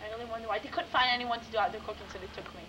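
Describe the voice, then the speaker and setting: A woman's voice: on the high side, racing, sharp tone. A young woman with an Israeli accent touched by New York pronunciations, chatting casually to a home video camera. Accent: Israeli with some New York pronunciations. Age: young adult. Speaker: summer camp outdoor cooking counselor. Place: open wooden shelter in woods. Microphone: camcorder mic a few meters away. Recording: noisy outdoor camcorder audio.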